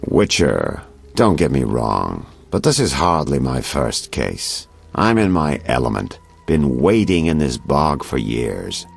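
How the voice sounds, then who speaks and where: A man's voice: very deep voice, sing-song, slow, creaky, slightly rough, soft background music, heavily acted. A man playing a seasoned, self-assured detective in a video game, talking with condescending confidence. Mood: assured, defensive.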